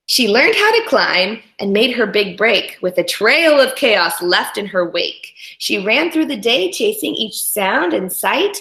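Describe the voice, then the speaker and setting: Animated woman reading to children, echoey room. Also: mid-range voice, measured pace, creaky, few pauses. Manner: animated, instructional, talks directly to young listeners. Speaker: children's read-aloud teacher. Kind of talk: expressive storybook read-aloud for young children with teacherly asides.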